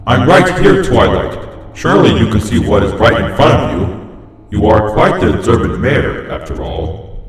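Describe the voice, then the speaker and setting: A man's voice: on the low side, sing-song, normal speed. A man voicing a sinister toy doll, a gleeful, possessive old lady who taunts sweetly in a horror story reading. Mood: coaxing. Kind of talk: horror fan fiction reading. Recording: cavernous echoing reverb, faint noise.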